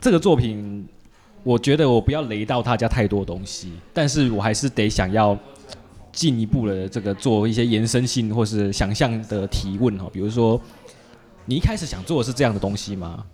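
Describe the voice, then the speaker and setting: A man's voice: lowish voice, fairly quick, a little mellow. Casual Taiwanese man interviewing, roundabout. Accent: Taiwanese Mandarin. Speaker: podcast host, interviewer.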